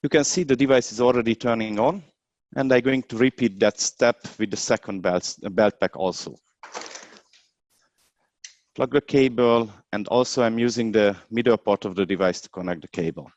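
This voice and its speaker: A man who is a non-native English speaker demonstrates a product hands-on in a webinar, explaining step by step in a halting way. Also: lowish voice, a little mellow, no room sound. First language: not English. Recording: dull, dry webinar video sound.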